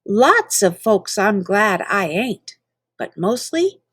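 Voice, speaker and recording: A woman's voice: mid-range voice, sing-song, slow pace, fairly bright tone, breathy edge, dry recording, clean studio sound. A woman reading children's poetry aloud in a playful, theatrical manner, drawing out words for emphasis. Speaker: reader of children's poems.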